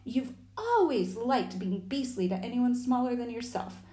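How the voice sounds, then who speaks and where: A woman's voice: a little high, animated, unhurried, warm tone, echoey room, pitched above the performer's own voice. A woman voicing a stern older brother who scolds in a storybook reading. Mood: scolding.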